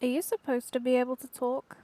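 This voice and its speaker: A woman comments casually as she plays a video game. Her voice is high, and faint game sound sits behind her short reactions. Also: steady tone, fairly quick, mellow, a little hiss or hum. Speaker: game streamer.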